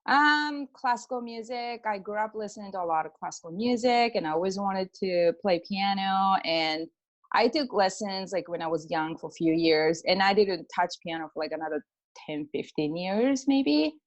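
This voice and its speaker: A woman's voice: medium pitch, moderate pace, muffled recording. A middle-aged woman from Japan with a Japanese accent, telling personal stories casually and informally as an interview guest. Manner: informal, peppers talk with "like" and acts out quoted exchanges. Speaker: guest, pianist and energy healer.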